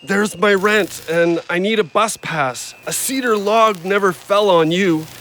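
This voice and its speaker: An actor playing an agitated, hard-up injured ex-logger who rambles bluntly in an audio play. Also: male voice, sits high, measured pace, fairly bright tone, a little hiss or hum. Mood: agitated, pleading. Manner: blunt, rambling, plain-spoken.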